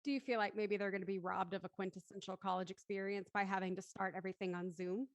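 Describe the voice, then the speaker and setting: A woman's voice: medium pitch, steady tone, fast talker, dry recording. A woman hosting a livestreamed cast interview, speaking articulately as she presents to an audience. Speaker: host.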